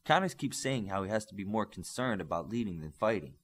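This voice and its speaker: A man's voice: fairly low pitch, lively intonation, fairly quick, slight background noise, natural voice. Young superhero teammate read by a man: earnest, agreeable, varied intonation, audiobook dialogue. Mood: earnest, explaining.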